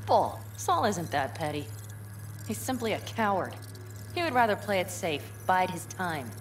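Young nomad rebel, headstrong, sardonic, lively swooping intonation, video game drama, slight background noise. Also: female voice, lowish voice, unhurried. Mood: sarcastic, indignant. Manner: emphatic, sardonic. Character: headstrong, defiant young nomad woman, sarcastic and passionate.

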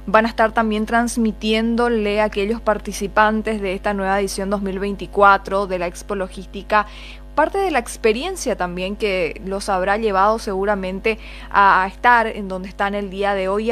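A woman radio host, mid-pitched and polished, putting a long, formally worded question to her guest. She speaks into a studio desk microphone while faint music plays underneath. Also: steady tone, normal speed, fairly bright tone. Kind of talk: interview question. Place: radio studio with large windows. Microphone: studio desk mic.

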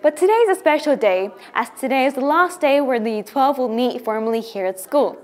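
A young woman reporter, a native Russian speaker, presenting a report and interviewing people with clear, upbeat questions. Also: sits high, lively intonation, a little fast, darkish tone. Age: young adult. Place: classroom indoors. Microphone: handheld mic.

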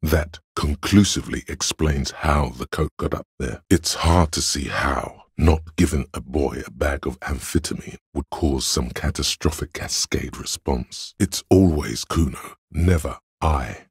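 A man voicing a detective's coolly analytical inner voice of logic in a video game, gravelly and husky, with measured, deliberate delivery. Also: a bass voice, no echo, studio quality, full character voice. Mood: pondering. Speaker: game voice actor. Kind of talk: scripted video game narration.

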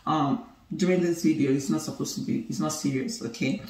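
Nigerian woman, 30s, animated and teasing, roomy far-off living-room sound. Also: deep voice, fairly quick. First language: Igbo. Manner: animated, laughing, playful and teasing. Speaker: co-host of a couple's channel. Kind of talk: casual chat to camera. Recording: roomy, somewhat distant sound.

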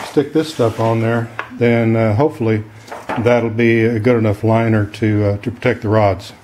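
A middle-aged man talks through a homemade project in an ordinary, mid-pitched voice, plain-spoken and loose, with plenty of ums and uhs. He is picked up by a camera microphone across a home garage. A faint background hiss sits under his voice. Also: darkish tone. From Texas. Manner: rambling, plain-spoken, many fillers. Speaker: DIY maker. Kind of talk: casual how-to demonstration. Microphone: camera mic from a distance.

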